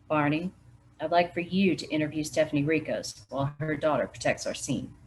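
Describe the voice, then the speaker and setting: A steady small-town lawman giving orders in an even, matter-of-fact way, read aloud by a woman. The voice sits a little low and stays calm and businesslike, like a character in a storybook mystery. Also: fairly quick, slight background noise, close to an everyday voice, poor audio. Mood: instructing.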